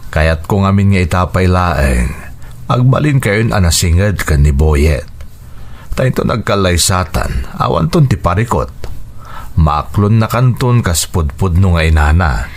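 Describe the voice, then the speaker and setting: A man's voice: sits low, animated, unhurried, full character voice. An actor playing an affectionate older widowed father in an Ilocano radio drama, courting a younger woman. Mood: tender, persuasive.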